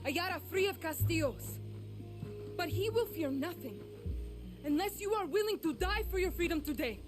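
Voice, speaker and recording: A woman's voice: very high-pitched, animated, normal speed, over music. An actress voicing a defiant female revolutionary leader in a video game cutscene, giving commanding, rousing speeches.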